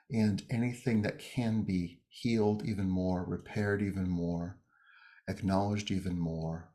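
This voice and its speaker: Deep-voiced man leading guided meditation. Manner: gentle, unhurried, pausing between short phrases.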